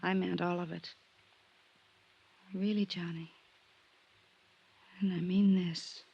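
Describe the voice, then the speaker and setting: An actress playing a sultry, world-weary drifter stranded abroad in a 1950s radio drama, earnest and pleading, with weary pauses. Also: female voice, on the low side, flat delivery, vocal fry, warm tone, breathy edge, highs cut off, full character voice. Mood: earnest, intimate. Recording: old radio drama recording.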